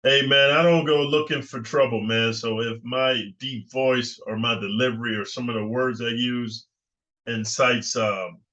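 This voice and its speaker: Assertive older man, bright tone. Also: medium pitch, steady tone, a little slow. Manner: assertive, rambling with fillers and restarts. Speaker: YouTube channel host. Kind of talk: casual commentary monologue. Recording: muffled lo-fi recording.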